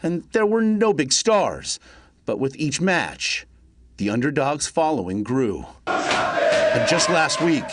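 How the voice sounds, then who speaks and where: A man narrating a scripted television news report in a measured, solemn way. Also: a little high, very animated, over a noisy crowd, heavy background noise.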